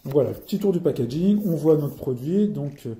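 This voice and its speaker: A man's voice: fairly high pitch, animated, a little fast, dark tone. A man with a French accent casually walks through a product as a reviewer, reading out specs and explaining them as he goes.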